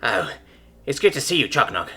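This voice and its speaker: Guy voicing a polite little hoofed alien lady, soft, formal, husky. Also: mid-range voice, big pitch swings, moderate pace, faint music behind, exaggerated voice. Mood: gentle. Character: small, gentle, formal hoofed alien woman.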